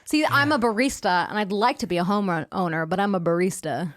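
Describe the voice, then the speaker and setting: A woman in her twenties, a podcast guest chatting casually in an expressive, theatrical voice. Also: medium pitch, a little fast, dry recording, studio quality. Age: 20s. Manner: chatty, lively, drops into put-on voices. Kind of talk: casual chat.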